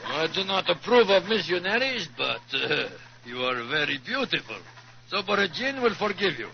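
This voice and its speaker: An actor playing a pompous, flirtatious Russian imperial envoy in a 1940s radio drama, with a Russian accent and a grandiose way of talking. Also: male voice, sits high, big pitch swings, normal speed, vocal fry, full character voice. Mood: boisterous, flirtatious. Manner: grandiose, speaks of himself by surname. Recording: muffled, noisy 1940s radio recording.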